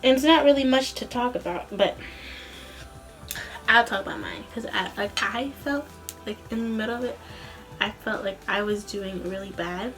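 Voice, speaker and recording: A woman's voice: mid-range voice, normal speed, pauses often, a bit bright, soft background music, poor audio. A young adult woman speaking as a vlogger in a casual, rambling, informal storytelling way. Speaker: vlogger, student singer.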